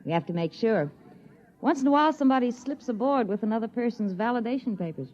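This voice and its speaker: An actress playing a polite, efficient stewardess on a moon rocket in a 1950s radio drama. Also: female voice, on the high side, lively intonation, fast talker, a little mellow, natural voice. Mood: concerned, officious. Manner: formal, efficient, official. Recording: dull 1950s radio recording.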